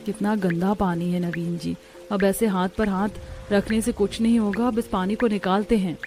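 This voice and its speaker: A woman's voice: medium pitch, steady tone, moderate pace, a little mellow, natural voice. A woman voicing a meek, dutiful young daughter-in-law in a cartoon story. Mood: earnest, practical.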